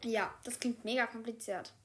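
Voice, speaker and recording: A woman's voice: a little high, fairly even intonation, normal speed, lightly acted. A woman playing a rambling office guide. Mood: friendly. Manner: rambling, correcting herself mid-sentence. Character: chatty female office worker giving a new secretary a tour. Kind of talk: improvised solo role-play.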